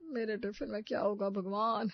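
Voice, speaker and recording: A woman voicing a weary, sarcastic husband who grumbles and gets embarrassed, in an animated family story. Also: medium pitch, moderate pace, lo-fi sound, lightly acted. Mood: nervous.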